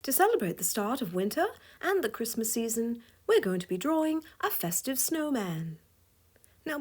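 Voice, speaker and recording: A woman's voice: mid-range voice, breathy edge. A woman with a scratchy voice giving a drawing tutorial voice-over, playful and chuckling often. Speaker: drawing instructor.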